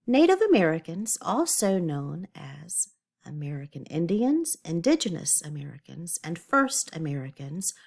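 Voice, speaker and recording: A woman's voice: sits low, sing-song, a little slow, creaky, darkish tone, slightly rough. A woman teacher reading a lesson aloud to children, stopping to explain words simply in an instructional way. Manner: didactic, checks understanding, explains words simply.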